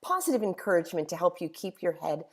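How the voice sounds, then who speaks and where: A middle-aged woman hosting a motivational monologue in a hoarse voice, stringing together emphatic rhetorical questions. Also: on the low side, sing-song, fast. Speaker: host.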